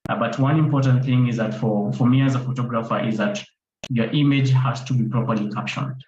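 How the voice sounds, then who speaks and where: A man's voice: mid-range voice, fairly even intonation, fairly quick, a little mellow. A man speaking as a conservation photographer on an online panel, repeating words for emphasis. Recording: roomy, dull sound with faint noise.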